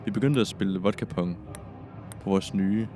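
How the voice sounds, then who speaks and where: A young man narrates his own story as a scripted podcast. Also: sits low. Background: music.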